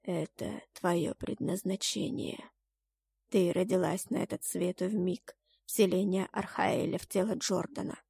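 Woman as a stern god, lowered, measured voice. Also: steady tone, creaky, warm tone, slightly rough, no room sound, exaggerated voice. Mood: solemn. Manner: grave, measured, commanding. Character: stern, solemn male god pronouncing grim destiny. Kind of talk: dramatic fantasy audiobook acting.

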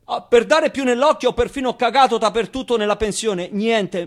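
Theatre role: man as indignant young orphan, raised pitch, loud, plaintive, stubborn. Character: neglected young orphan boy, brash, stubborn, complaining. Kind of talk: theatrical monologue.

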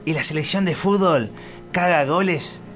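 Man acting a boastful, higher-pitched cabinet chief. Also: moderate pace, soft background music, lightly acted. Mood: eager boasting. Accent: Argentine. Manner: polite, insistent, list-making. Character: boastful, obsequious male cabinet chief, salesman caricature. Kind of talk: satirical radio drama acting.

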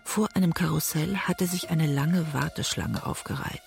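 An actress playing a West German woman writer who narrates an obsessive love story in a measured, introspective way, as in a literary audio drama. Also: low-pitched, creaky, a little mellow, soft background music. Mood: bleak, observant.

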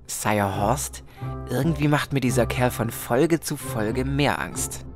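A woman's voice: rumbling low, slow, over loud music, exaggerated voice. A woman playing a bossy, sarcastic knight's sidekick with formal politeness in a fantasy parody audio drama.

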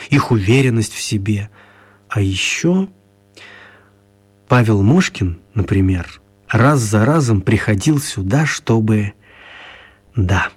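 A man narrating a children's radio play as a scripted storyteller, with measured, wry phrasing. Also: fairly low pitch, darkish tone, slightly rough.

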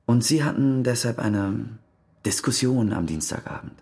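An actor playing a formal, probing police detective questioning suspects in a radio crime drama, with a husky, gravelly voice. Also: male voice, mid-range voice, normal speed, darkish tone. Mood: insistent.

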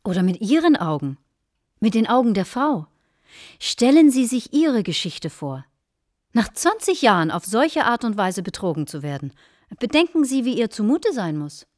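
An actress playing a middle-aged, judgmental wife and hostess in a radio drama, speaking with dramatic emphasis. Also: female voice, fairly high pitch, sing-song, moderate pace, no room sound, clean studio sound. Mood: indignant.

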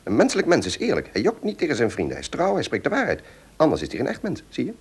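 A woman playing a stern but kindly poodle mentor in a children's audio play, brisk, preachy and admonishing. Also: very deep voice, wildly swooping pitch, darkish tone, husky, exaggerated voice. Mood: scolding, moralizing.

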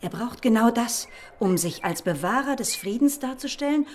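An actress playing a shrewd, resolute woman rebel in a historical audio drama, speaking deliberately and with determination. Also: fairly high pitch, lively intonation, lightly acted.

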